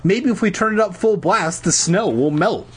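Male narrator as frantic young boy hero, audiobook, husky, swooping, urgent delivery. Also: high voice, animated, moderate pace, voice pushed up, heavily acted. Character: panicky, earnest boy hero of a scary children's story.

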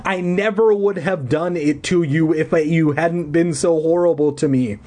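Man doing a pleading, high-pitched teenage girl. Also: wildly swooping pitch, moderate pace, a little mellow, husky, exaggerated voice. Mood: furious. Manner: pleading, insistent. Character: upset, defensive teenage girl, the story's narrator Sam.

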